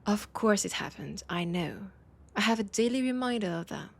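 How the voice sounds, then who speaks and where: A woman with a French accent playing a teasing, nostalgic, centuries-old vampire in an intimate audio roleplay, her breathy voice often dropping to a whisper. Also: mid-range voice, animated, moderate pace. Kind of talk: intimate audio roleplay, one-sided dialogue.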